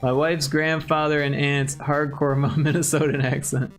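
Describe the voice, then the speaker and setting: A man's voice: fairly high pitch, little pitch movement, moderate pace, faint music behind. A man from Chicago with a Chicago accent, hosting a livestream and chatting casually with viewers in a joking way. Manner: joking, chuckling, chatty with chat. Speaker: livestream host.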